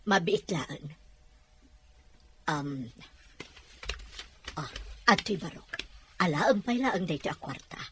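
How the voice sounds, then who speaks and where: A woman's voice: fairly low pitch, lively intonation, slow, pauses often, a little hiss or hum. A woman playing a caring, generous, motherly older woman in an audio family drama. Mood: concerned, generous. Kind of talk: dramatized audio story acting.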